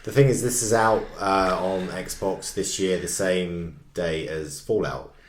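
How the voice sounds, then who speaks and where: Deep-voiced man in his thirties, echoey. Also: slow pace. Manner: relaxed, hesitant opinions. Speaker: co-host. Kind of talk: casual gaming chat. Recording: roomy echo.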